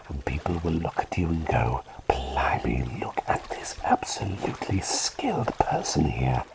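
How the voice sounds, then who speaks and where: A man playing a gentle, doting plague doctor in an ASMR roleplay, speaking in soothing, slightly formal, breathy whispers. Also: rumbling low, heavily acted, rough recording. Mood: encouraging, playful. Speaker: ASMR performer.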